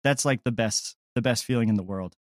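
A man's voice: mid-range voice, a little fast, darkish tone, studio quality. Man, mid-range voice, casual interview chat, enthusiastic, emphatic, no room echo. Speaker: audio comedy creator, interviewee.

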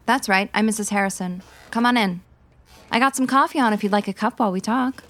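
List: chatty old-fashioned neighbor lady , played by a woman, folksy, quick, comedy radio play. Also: mid-range voice, a bit bright, natural voice. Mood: friendly. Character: chatty 1950s housewife neighbor.

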